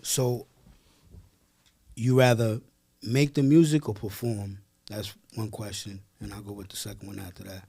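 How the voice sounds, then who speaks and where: A man's voice: on the low side, flat delivery, a little slow, vocal fry, mellow, breathy edge. An American man asking questions as an interviewer in an informal, slangy, conversational way. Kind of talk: casual interview chat.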